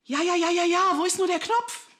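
A man's voice: extremely high pitch, brisk, sharp tone. A man rehearsing audio drama lines as an amateur voice actor, playfully switching between acted lines and casual asides. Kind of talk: rehearsing script lines, outtakes.

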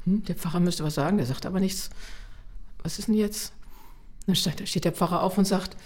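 Low-voiced expressive woman telling stories. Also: a little fast. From Munich, Germany. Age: middle-aged. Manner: chatty, expressive, acts out remembered remarks. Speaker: interview guest, children's songwriter and singer. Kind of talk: animated personal storytelling.

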